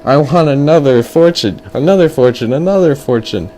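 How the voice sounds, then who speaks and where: A man voicing an excitable, pleading young boy in a told story, repeating his words as he begs and exclaims. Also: on the high side, slow, gravelly, slight background noise, voice pushed up, exaggerated voice. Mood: excited.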